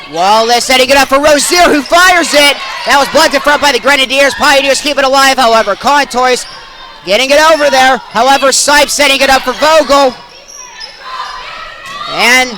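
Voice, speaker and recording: Young male sportscaster, high-pitched, excitable live play-by-play delivery over a noisy gym crowd. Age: young adult. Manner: excitable, projecting over the action. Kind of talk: live volleyball play-by-play commentary. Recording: crowd and gym noise behind.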